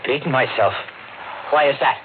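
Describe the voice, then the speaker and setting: Actor as haunted trucker on tinny old radio. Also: male voice, medium pitch, normal speed, slight background noise, lightly acted. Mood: groggy, confused. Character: hearty, haunted truck driver telling his nightmare tale. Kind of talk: 1950s radio drama acting, first-person narration. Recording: tinny old radio broadcast, loud music behind.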